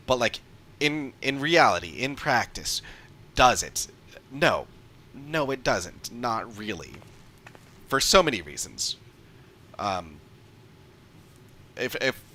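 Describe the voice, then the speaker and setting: A man's voice: mid-range voice, bright, forceful tone. A man giving casual, off-the-cuff livestream commentary as a game streamer, emphatic and repeating points for stress.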